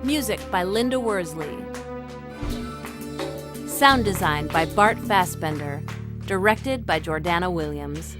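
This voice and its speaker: A woman announcer giving a measured, scripted read of drama credits. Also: lowish voice, animated, over loud music.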